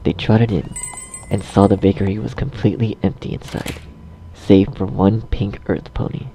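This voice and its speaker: A man's voice: on the low side, little pitch movement, moderate pace, noisy background. A man narrating a scripted story, recorded slightly dull. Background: faint music.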